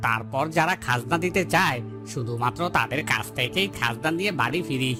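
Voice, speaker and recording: A man's voice: medium pitch, animated, a little fast, close to an everyday voice. A man playing a village headman's deferential, grumbling henchman in a cartoon. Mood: deferential, complaining. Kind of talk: Bengali moral-story cartoon dubbing. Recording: loud music bed behind the voice.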